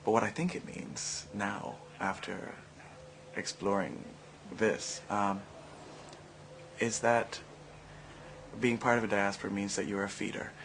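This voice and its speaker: A man from Barbados, an artist, reflecting in a measured, searching way. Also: on the low side, fairly even intonation, fairly bright tone, slight background noise. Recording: faint background ambience.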